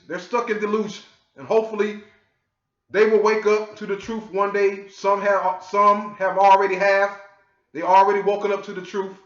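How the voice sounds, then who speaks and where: A man from the United States lecturing his viewers in a scolding, forceful way and often asking "okay?". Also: high voice. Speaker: online commentator. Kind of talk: lecturing monologue. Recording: echoey, dull lo-fi audio.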